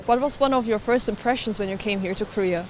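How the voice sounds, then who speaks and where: A woman's voice: medium pitch, quick pace, rough recording. A woman hosting a street interview, asking passers-by questions in an upbeat, friendly way. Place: outdoors on a busy street. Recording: outdoor street noise.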